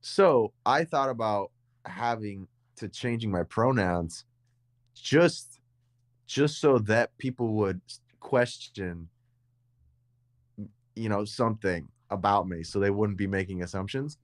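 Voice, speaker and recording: A young man with a deep voice, co-hosting a casual podcast chat and explaining at length, with frequent restarts and repeated words. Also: takes it slowly, dry recording. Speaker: podcast co-host. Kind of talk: casual conversation.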